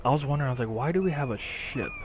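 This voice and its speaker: A man's voice: medium pitch, animated, racing, background noise. A young man hosting a gaming channel, casually thinking aloud as he plays. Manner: musing, thinking aloud while clicking. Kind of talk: casual gameplay commentary. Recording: game music behind.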